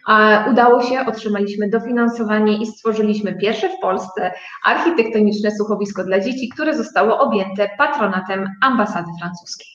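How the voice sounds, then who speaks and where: A woman being interviewed as a guest, explaining her project with frequent hesitant pauses between phrases. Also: medium pitch. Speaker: guest, start-up co-owner. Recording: dull, roomy lo-fi sound.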